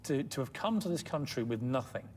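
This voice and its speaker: A man's voice: mid-range voice, animated, fairly quick, a little mellow, a little hiss or hum. A man hosting a TV talk show, bantering and teasing his guest. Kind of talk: chatty TV interview. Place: TV studio set with leather armchairs. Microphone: lapel mic.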